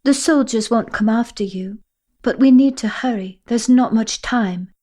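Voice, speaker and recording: A woman voicing a confident thirteen-year-old girl who speaks briskly and urgently. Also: on the high side, warm tone. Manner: brisk, hurried, matter-of-fact.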